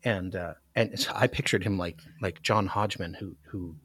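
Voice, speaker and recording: A man's voice: low-pitched, normal speed, a bit bright, breathy edge, dry recording. A man talking as a podcast guest, in a conversational, thoughtful way about a book he has read. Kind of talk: casual book discussion chat.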